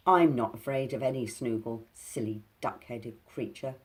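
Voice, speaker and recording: A woman voicing a small, bold male pixie who talks fiercely and loudly in a storybook reading. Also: extremely low pitch, normal speed. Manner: fiery, indignant, boastful.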